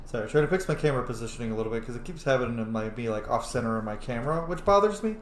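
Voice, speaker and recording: Animated, joking man in an echoey room. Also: mid-range voice, racing, a little hiss or hum. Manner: joking, sweary, emphatic. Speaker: streamer playing a role-playing game. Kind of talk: casual let's play commentary.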